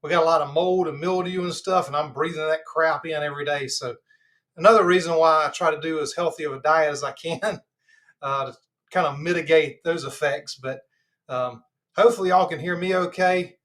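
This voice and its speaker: A man's voice: on the high side, moderate pace. Stuffy-nosed Southern man hosting a livestream. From Georgia, US. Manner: relaxed and rambling, many fillers. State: stuffed up nose. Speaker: host of a live health channel. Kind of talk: casual livestream chat.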